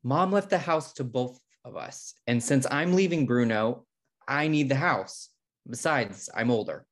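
A man's voice: mid-range voice, animated, a little slow. A man playing a smart, fussy, neat-freak twin brother with a dry, prim manner in a comedy table read. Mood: exasperated. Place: home room, video call.

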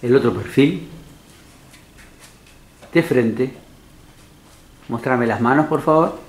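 A man's voice: medium pitch, slow pace. A man calling short, commanding directions at a casting session. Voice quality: curt, commanding. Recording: echoey studio room.

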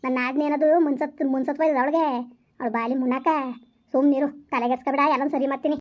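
A woman's voice: high-pitched, little pitch movement, quick pace, darkish tone, lightly acted. A woman voicing a scheming rural mother who scolds and reassures her daughter while plotting revenge. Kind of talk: village family drama acting.

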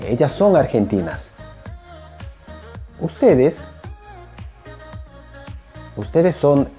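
Young guy teaching a lesson, super mellow dark voice, really swingy intonation, talks slow. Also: mid-range voice. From Peru. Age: young adult. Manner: animated, emphatic, repeats phrases slowly. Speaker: Spanish teacher. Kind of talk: language lesson to camera. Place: small indoor room, plain wall. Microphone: clip-on lapel mic.

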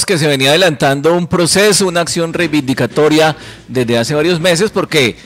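Middle-aged radio host, fairly high voice. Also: male voice, fairly quick, fairly bright tone, faint music behind. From Colombia. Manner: courteous, conversational questioning. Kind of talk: radio interview. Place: radio studio. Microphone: studio microphone.

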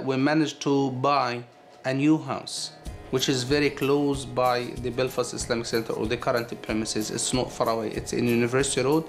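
A man in his forties explains things in a steady, matter-of-fact way and sometimes repeats a word as he goes. His voice sits at a medium pitch. Clear guitar music runs underneath. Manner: explanatory, steady, some repetitions. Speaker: project coordinator of a mosque. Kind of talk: documentary interview. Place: indoors and outside a house.

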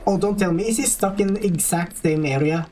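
A man's voice: high voice, a little fast, background noise. A man with a Norwegian accent chatting casually while streaming a game, laughing often and rambling through explanations. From Norway. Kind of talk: casual gaming chat. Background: game music.

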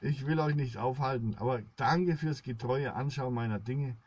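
A middle-aged man rambling to his viewers in a solemn, halting monologue, recorded indoors at about arm's length. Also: mid-range voice, darkish tone. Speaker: blogger, solo video host.

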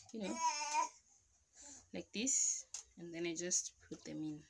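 A woman's voice: lowish voice, a bit bright. Chatty woman explaining step by step. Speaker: vlogger. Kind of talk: casual home how-to demonstration. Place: indoors at home beside a washing machine.

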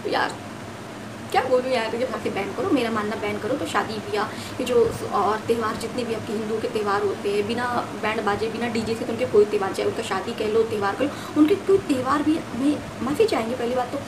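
High-voiced young woman, noisy recording. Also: fairly quick. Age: young adult. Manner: emphatic, repeats phrases, asks rhetorical questions. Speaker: vlogger. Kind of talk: opinionated rant to camera. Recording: noisy phone recording, distant mic.

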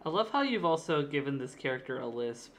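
A woman's voice: sits low, very animated, brisk, slight background noise. A woman chatting casually and laughing easily, with a laid-back, self-deprecating way of talking. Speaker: streamer drawing a guest. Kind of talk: casual chat.

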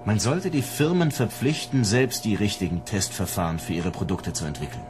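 A man's voice: on the low side, moderate pace, noisy background, lightly acted. A man acting the part of an eager, confident police officer in a radio drama. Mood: keen, confident.

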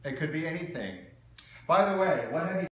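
A man's voice: on the high side, lively intonation, fairly quick, fairly bright tone. Male livestream host, 40s, jovial and chuckly, casual chat, heavy room echo. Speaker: host of a live piano request show. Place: living room beside a grand piano. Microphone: phone or camera a few feet away. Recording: very echoey room, faint background noise.

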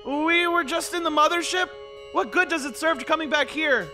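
Squeaky, anxious teenage boy. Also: moderate pace, sharp tone, soft background music, heavily acted. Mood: whiny, protesting. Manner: hesitant, stammering, pleading. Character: anxious, whiny teenage high-school boy. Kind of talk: animated comedy dialogue.

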